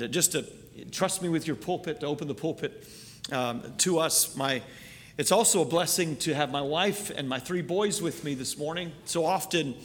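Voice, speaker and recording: A middle-aged man raised in Canada, a missionary preacher opening a sermon in a church, earnest and halting, with many fillers. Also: mid-range voice, a bit bright, in a large hall. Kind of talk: sermon introduction. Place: church sanctuary.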